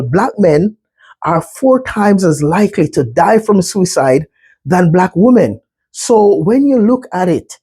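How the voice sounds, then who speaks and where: A man's voice: high voice, dark tone, husky. A man with a Jamaican accent giving an earnest slide presentation on mental health, often checking in with "right?".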